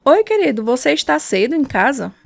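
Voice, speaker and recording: High-voiced devout, caring wife. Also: female voice, very animated, fairly quick, creaky, no room sound, exaggerated voice. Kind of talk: radio drama acting.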